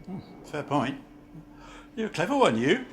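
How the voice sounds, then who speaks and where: A man's voice: fairly high pitch, sing-song, unhurried, slight background noise. A man playing a brusque, chatty city banker in an amateur radio play, grumbling and asking blunt questions. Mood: probing, teasing.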